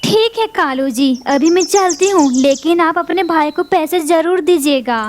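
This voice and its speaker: A woman's voice: extremely high pitch, measured pace, a little mellow, a little hiss or hum. A woman voicing a stern but trusting female crow mother in a cartoon. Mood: firm. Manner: firm, pleading.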